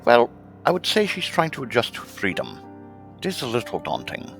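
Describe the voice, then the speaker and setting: A man playing a mild, reasonable craftsman in an audio drama, gentle and advising in manner. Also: mid-range voice, wildly swooping pitch, normal speed, gravelly, soft background music, exaggerated voice. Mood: reassuring. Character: mild, reasonable male craftsman, helpful and curious. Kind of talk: amateur audio drama acting.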